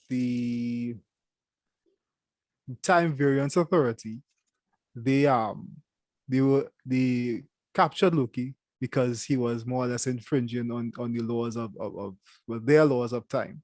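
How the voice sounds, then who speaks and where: Trinidadian man, young, co-host, halting with restarts, dry close sound. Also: medium pitch, darkish tone, no room sound. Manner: halting, many restarts and fillers. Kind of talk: casual review chat.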